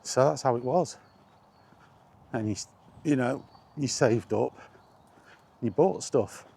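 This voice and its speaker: A man's voice: medium pitch, takes it slowly, vocal fry, dark tone, slightly rough. A middle-aged British man casually rambling through personal memories, as in an informal vlog. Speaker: vlogger.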